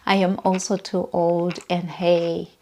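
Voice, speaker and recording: A woman in her thirties speaks with a Southern African accent. Her voice sits slightly low for a woman. She addresses viewers directly in a personal vlog, recorded indoors in a bedroom. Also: level tone, slow, a little mellow. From Zimbabwe and South Africa. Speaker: vlogger.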